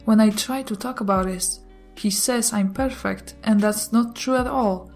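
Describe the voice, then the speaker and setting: A woman whose first language is not English, reading online posts and comments aloud in a steady way, with brief chatty asides. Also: mid-range voice, normal speed, faint music behind. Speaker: YouTuber reading Reddit posts.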